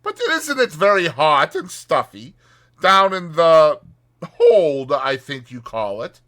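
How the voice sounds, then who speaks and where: A curious stay-at-home water rat acted by a man, pitched high and very lively in melody. He sounds earnest, hesitant and wistful, like a storybook innocent full of wonder. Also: slow, exaggerated voice. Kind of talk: dramatized audiobook character reading.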